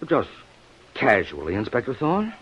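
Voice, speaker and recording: An American actor playing a defensive, earnestly protesting lover suspected of murder in a 1950s radio detective drama. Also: male voice, mid-range voice, huge pitch swings, unhurried, breathy edge, heavily acted. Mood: defensive, uneasy. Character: defensive male lover under suspicion of murder. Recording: dull vintage radio recording.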